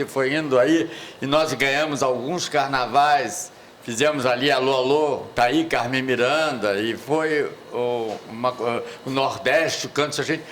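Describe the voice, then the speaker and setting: An older man in the audience asks a long, rambling question at a panel talk, reminiscing and pausing to search for words. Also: fairly high pitch, a bit bright, a little hiss or hum. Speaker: audience member asking a question. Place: lecture hall at a public event.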